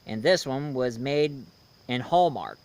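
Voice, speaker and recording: A man in his thirties giving a casual, unscripted review as the host, haltingly, often searching for words and repeating himself. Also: mid-range voice, animated, darkish tone, clean tone.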